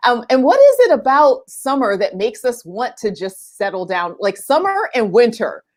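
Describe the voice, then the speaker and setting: A woman with a fairly high-pitched voice hosts a casual live-streamed conversation. She is chatty and informal, often turning to address her audience directly. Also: very animated, moderate pace. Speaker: host of a live online book chat.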